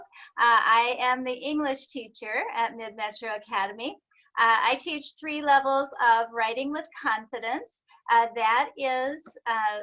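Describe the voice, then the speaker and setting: Bright woman teacher, dull lo-fi audio. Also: a little high. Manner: bright, with many "uh" fillers. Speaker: English teacher. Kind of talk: school open house presentation. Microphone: video call.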